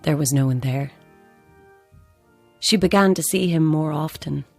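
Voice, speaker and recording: Low-voiced, insistent bereaved mother. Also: measured pace, gravelly, no echo, soft background music, heavily acted. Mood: grave, haunted. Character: bereaved mother, insistent, blunt believer in ghosts. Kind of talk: naturalistic audio drama acting.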